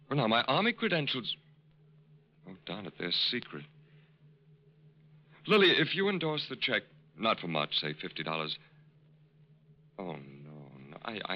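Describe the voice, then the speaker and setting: An actor in an old radio drama playing a smooth con artist posing as a lovesick army major, halting and pleading. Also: male voice, low voice, exaggerated voice. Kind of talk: mid-century American radio drama acting. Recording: muffled, noisy old radio recording.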